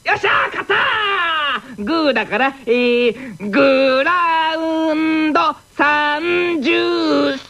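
A woman playing an energetic boy athlete in an anime radio drama. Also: high-pitched, slow, few pauses, heavily acted. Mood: excited.

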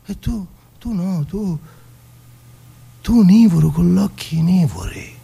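High-voiced, slow Sicilian youth. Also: male voice, lively intonation, vocal fry, warm tone, breathy edge, heavily acted. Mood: tender, agitated. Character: naive, emotional Palermo street youth grieving a lost friend. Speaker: stage actor performing a monologue. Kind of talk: theatrical radio monologue in dialect.

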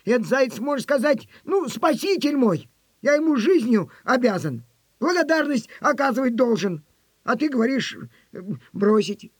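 A man's voice: very high voice, big pitch swings, normal speed, breathy edge, no room sound, heavily acted. An actor playing a stubborn, grateful old village grandfather in an audio play, with folksy, emphatic speech. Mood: pleading, stubborn.

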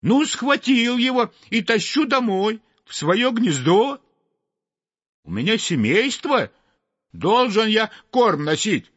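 A man puts on a hot-headed little male sparrow from a storybook, shrill and shouting, choking on his own fury. The voice jumps way up high and leaps around wildly, on a slightly dull, dry recording. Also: very animated, takes it slowly, breathy edge, no room sound, exaggerated voice. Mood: furious. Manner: shrill, shouting, breathless with rage.

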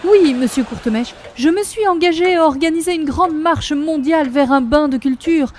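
Woman acting a high-pitched, grandiose society lady. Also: moderate pace, darkish tone, a little hiss or hum, lightly acted. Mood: grandiose, earnest. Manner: theatrical, effusive, flowery speech. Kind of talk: comic radio play in 1930s-40s style.

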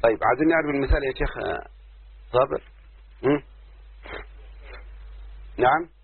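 A man with an Egyptian accent, a sheikh teaching Arabic grammar, explaining slowly and asking his listeners questions as he goes. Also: medium pitch, creaky, a little hiss or hum. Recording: tinny phone-like line, dry.

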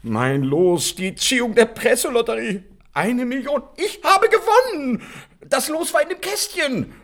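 An actor playing an excitable, indignant father with a husky voice, swinging between outbursts and mutters. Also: very high-pitched, a little slow, exaggerated voice. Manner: agitated, swinging from shouts to mutters. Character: excitable middle-aged father, indignant and frantic.

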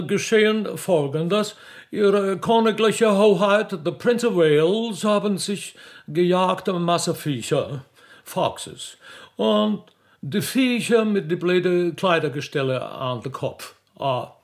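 A pompous British envoy in a radio comedy. He has an English accent and drags his halting sentences out slowly in a highish, creaky voice, groping for each word. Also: expressive, exaggerated voice. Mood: halting, formal. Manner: halting, hesitant, groping for words. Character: stammering pompous British envoy, mangling German.

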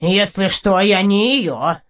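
A woman's voice: medium pitch, slow, lightly acted. A woman voicing a boastful, grumpy talking male wild cat in an audiobook, with a cocky, grumbling delivery. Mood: indignant.

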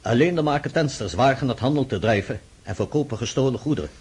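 An actor in a radio play voicing a deferential, dutiful military aide who reports formally and soberly to his emperor in a husky voice. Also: mid-range voice, animated, quick pace, darkish tone, a little hiss or hum, natural voice. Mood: grave, reporting. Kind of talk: radio drama acting.

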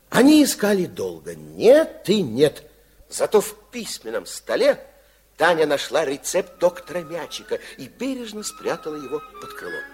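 A man narrating a radio fairy tale in an expressive, wry storytelling manner. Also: sits high, slightly rough.

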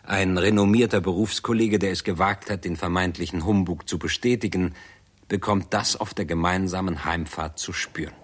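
A man's voice: deep voice, steady tone, moderate pace, breathy edge. A man reading scripted narration for a 1960s radio drama in a formal, documentary-like manner.

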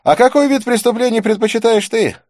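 A man's voice: very high voice, brisk, darkish tone, no room sound, clean studio sound, pitched above the performer's own voice. A man voicing a jovial, teasing young private detective in an audiobook, playful and exclamatory. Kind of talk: audiobook character voice.

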